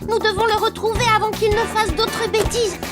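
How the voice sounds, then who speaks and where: A very high, childlike little wooden puppet boy, earnest and full of exclamations. He talks like a children's cartoon hero, with loud music playing behind him. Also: fairly even intonation, moderate pace. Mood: worried, urgent. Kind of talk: children's cartoon dialogue, French dub. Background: prominent cartoon music.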